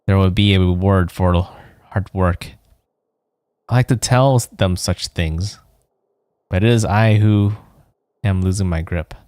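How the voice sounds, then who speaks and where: A man acts out a dying woman diarist from a video game, worn out and despairing. The words come slowly and haltingly, low and sorrowful, in a dry, close recording. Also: a little mellow, clean tone, no echo. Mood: weary despair. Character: dying, weary woman writing a despairing diary.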